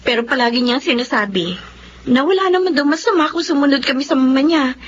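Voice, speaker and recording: A woman's voice: sits high, lively intonation, fast talker. An actress playing a frustrated, weary young wife and mother in a radio drama, speaking plaintively and earnestly. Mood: distressed. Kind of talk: Filipino radio drama acting. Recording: dull, noisy radio drama recording.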